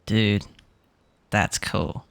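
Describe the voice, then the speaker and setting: A man's voice: extremely slow, a bit bright. A man giving casual gameplay commentary as he plays. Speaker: gamer. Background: game music.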